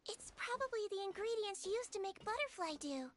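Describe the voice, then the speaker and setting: A woman's voice: very high voice, lively intonation, normal speed, a bit bright, slight background noise. A woman voicing a young, cute, earnest girl nurse in a video game dub, with a sweet, bubbly, childlike delivery. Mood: curious.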